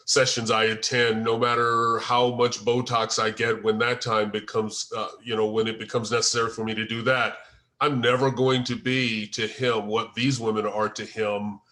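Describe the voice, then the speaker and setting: A man leading a discussion of a script on a video call, explaining in a deliberate way. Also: mid-range voice, little pitch movement, sharp tone. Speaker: playwright leading a table-read feedback session. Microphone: video call microphone.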